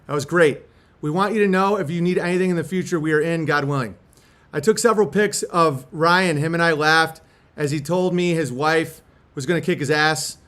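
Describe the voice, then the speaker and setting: High-pitched man, casual and slangy. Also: normal speed, fairly bright tone. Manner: laid-back slangy talk with frequent swearing. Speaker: host reading a fan letter aloud. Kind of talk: casual livestream chat with letter reading.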